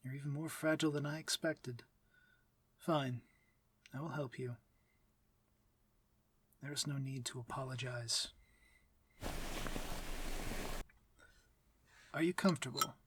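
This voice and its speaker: Man voicing a husky, commanding vampire lord. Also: medium pitch, steady tone. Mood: civil, patient. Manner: formal, measured, commanding diction. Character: courtly, aloof but civil male vampire master. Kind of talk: ASMR fantasy audio roleplay. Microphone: close, dry microphone.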